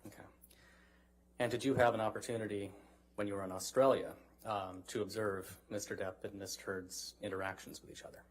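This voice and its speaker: Fast-talking male lawyer questioning a witness. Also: lowish voice, fairly even intonation. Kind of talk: courtroom questioning. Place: courtroom. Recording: noisy courtroom broadcast feed.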